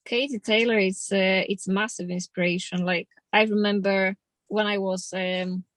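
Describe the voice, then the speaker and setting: A woman's voice: medium pitch, fairly even intonation, moderate pace, fairly bright tone, no room sound. A woman in her thirties from Poland with a Polish accent, a boxer speaking in a casual interview in plain, determined, slightly non-native English. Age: 30s. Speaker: professional boxer.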